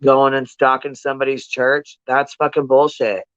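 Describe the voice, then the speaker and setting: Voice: medium pitch, flat delivery, normal speed, no echo, highs cut off. A livestream host giving casual, blunt commentary to viewers in slangy, profanity-laced speech, often repeating points for emphasis.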